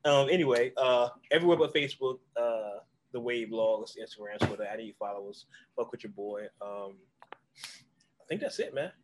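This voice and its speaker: A man's voice: medium pitch, moderate pace, slight background noise, poor audio. A man hosting a podcast in loose, laughing, swearing banter with his co-hosts. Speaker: podcast host. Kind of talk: casual chat.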